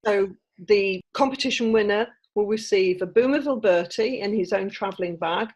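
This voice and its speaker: Husky woman in her sixties. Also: mid-range voice, moderate pace, a little mellow, dull audio. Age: 60s. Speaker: interview guest, author. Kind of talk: casual interview chat.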